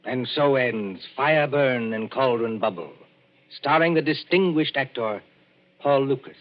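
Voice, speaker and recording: A man's voice: mid-range voice, unhurried. A man announcing the closing of a 1940s radio drama, formal and declamatory. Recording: muffled 1940s radio broadcast with music.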